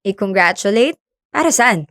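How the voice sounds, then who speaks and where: Friendly, teasing Filipina in radio drama. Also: female voice, medium pitch, lively intonation, moderate pace, fairly bright tone, dry recording, studio quality. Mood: friendly, modest. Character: friendly young woman, government fisheries speaker, modest and teasing.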